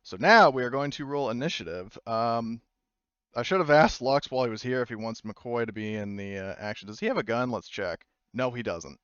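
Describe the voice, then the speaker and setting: A man's voice: medium pitch, animated, fairly quick, a bit bright, smooth, no room sound. A man acting as game master, casually narrating a tabletop game in informal language over lo-fi audio.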